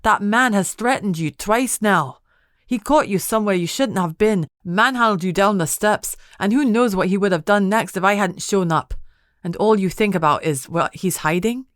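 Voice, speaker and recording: A woman voicing a frustrated, protective husband who argues forcefully. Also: sits high, moderate pace, no echo, clean studio sound, voice pushed up. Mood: exasperated. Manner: forceful, argumentative.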